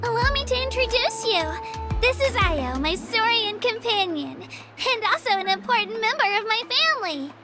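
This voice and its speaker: A woman's voice: very high voice, big pitch swings, moderate pace, over loud music. A woman voicing an eager, friendly young girl adventurer in a video game, earnest, enthusiastic and chatty. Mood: cheerful.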